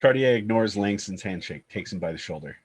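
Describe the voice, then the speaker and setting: Husky, low-voiced man narrating. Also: lively intonation, brisk. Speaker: narrator reading stage directions. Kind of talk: scripted screenplay narration.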